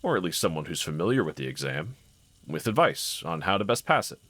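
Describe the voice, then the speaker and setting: A man playing a gentle, encouraging husband in an intimate scripted roleplay audio, speaking in a considerate, reassuring manner. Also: sits low, very animated, a little fast, dry recording, full character voice. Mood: tactful, earnest. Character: gentle, encouraging adult husband, calm and caring. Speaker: character audio voice actor.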